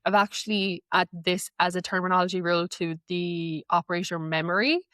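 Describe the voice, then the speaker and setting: A woman guest giving a conversational walkthrough of how she works with a software tool. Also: fairly low pitch, steady tone, fairly quick, clean tone, no room sound, clean studio sound. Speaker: guest, AI knowledge manager.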